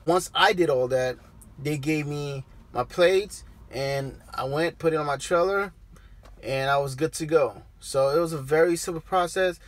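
A man's voice: a little high, unhurried. Upbeat trucker explaining things to his viewers. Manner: relaxed, conversational, addresses viewers directly. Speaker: trucker vlogger. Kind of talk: casual how-to vlog. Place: parked truck cab, daytime.

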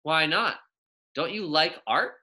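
A young man voicing a brisk, firm woman schoolteacher in a storybook, announcing and questioning. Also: fairly high pitch, expressive, sharp tone, voice pushed up, heavily acted. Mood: puzzled.

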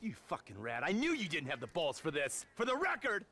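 A man playing a belligerent, self-righteous corrupt American federal agent in a video game, forceful and sneering. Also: on the high side, huge pitch swings, brisk, heavily acted. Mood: defiant. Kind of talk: cinematic game acting.

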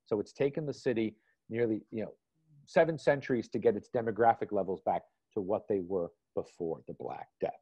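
A lower-pitched man with a husky voice talks through an art history lecture in a loose, conversational way. The room is dry, and the video call audio sounds dull and lo-fi. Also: fairly quick, no room sound. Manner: conversational, many "you know" fillers. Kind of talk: online lecture and Q&A.

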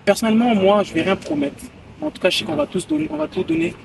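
A man's voice: very high-pitched, expressive, fast talker, vocal fry, distant mic, background noise. A man in his twenties, a footballer giving casual interview answers outdoors and repeating phrases for emphasis. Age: 20s. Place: outdoors by a hotel pool.

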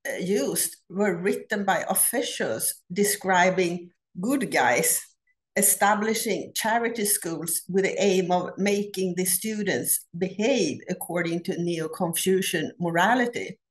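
Swedish lady giving a zoom lecture, super slow and careful, lots of little uh pauses. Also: mid-range voice. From Sweden. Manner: measured, deliberate, with frequent "uh" pauses. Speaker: academic researcher presenting a paper. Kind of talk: prepared conference talk. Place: home office. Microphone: laptop webcam on a video call.

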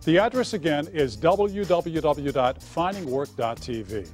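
A man's voice: a little high, expressive, unhurried, darkish tone, soft background music. Man reading a program announcement. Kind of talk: scripted announcement.

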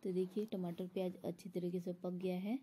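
A woman's voice: on the low side, little pitch movement, a little fast, mellow, slightly rough. A woman explaining a recipe step by step in an instructional voice-over, as a home cook. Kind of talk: step-by-step cooking tutorial voice-over.

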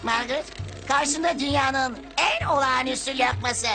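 A woman's voice: high voice, normal speed, creaky. A woman voicing an eager, flustered creature underling in a children's cartoon, gushing grandly and anxious to please. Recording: music behind the voice.

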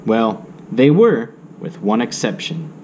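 A man reading a children's story aloud with measured, theatrical expression. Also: on the low side, sing-song, mellow, a little hiss or hum.

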